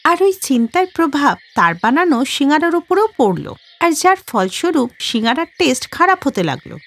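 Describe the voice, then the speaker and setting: A woman narrates a cartoon tale from a script. Her voice is high-pitched, with a lively, swooping intonation. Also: fairly quick.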